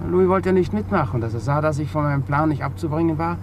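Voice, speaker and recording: An actor playing a weary, resigned burglar confessing in a halting, subdued way in a 1950s German radio play. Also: male voice, fairly high pitch, lightly acted. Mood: self-justifying. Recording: noisy 1950s radio recording.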